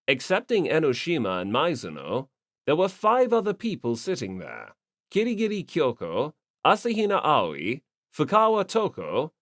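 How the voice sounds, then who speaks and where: A man's voice: fairly high pitch, no echo, clean studio sound. A man reading scripted story narration as an audiobook narrator.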